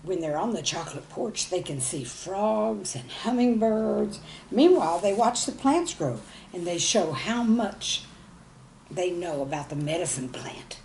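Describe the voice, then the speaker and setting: Female children's storyteller. Folksy, expressive read with big pitch swings, on the low side, slightly noisy recording. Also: a little slow, gravelly. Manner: folksy, animated, addresses young listeners directly.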